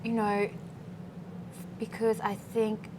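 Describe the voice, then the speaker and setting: A young woman from the Philippines, a pageant queen, talking casually in an interview, relaxed, slipping Tagalog words in with plenty of "you know" and "um". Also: mid-range voice, slow. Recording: background noise.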